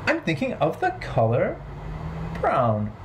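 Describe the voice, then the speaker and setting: A man in his thirties hosting a children's storytime, playful and expressive, speaking simply in a rhythmic sing-song way. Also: on the high side, slow, background noise. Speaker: children's storytime host at a library. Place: bright living room at home.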